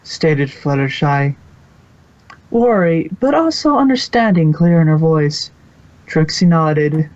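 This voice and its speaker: A man's voice: a little high, animated, slow pace, darkish tone, no echo, lo-fi sound. A man reading scripted storybook narration for a fan fiction audio production.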